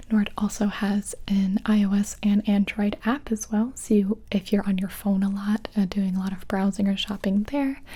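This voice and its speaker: Woman whispering, soft and breathy. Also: mid-range voice. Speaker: ASMR video host. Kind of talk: whispered ASMR sponsor read.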